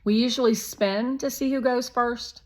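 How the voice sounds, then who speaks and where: A woman voicing a put-upon young boy in a children's storybook, plain-spoken, grudging and quietly resentful. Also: a little high, fairly even intonation, moderate pace, voice pushed up, close to an everyday voice. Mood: objecting.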